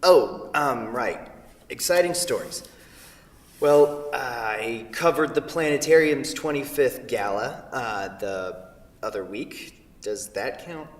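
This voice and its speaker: An awkward Midwestern writer, played by a man in a staged play reading, answers in a hesitant, dryly defensive way. His mid-pitched voice is picked up from a distance in a hall with heavy echo. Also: lively intonation, slow. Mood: hesitant, wry. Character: dry, awkward Midwestern freelance writer named Kent. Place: theatre, recorded from a distance. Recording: distant mic in echoey hall.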